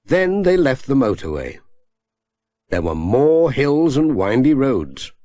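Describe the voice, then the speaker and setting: A man with a British accent narrating a children's story in a measured, expressive storytelling manner. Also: mid-range voice, no room sound. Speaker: audiobook narrator.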